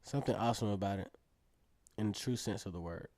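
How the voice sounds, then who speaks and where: Man playing a low-voiced, reflective teenage narrator. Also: fast talker, darkish tone, no echo, natural voice. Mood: thoughtful. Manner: reflective, conversational. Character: earnest teenage boy acrobat narrating his story. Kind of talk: first-person narration in an Afrofuturist radio drama.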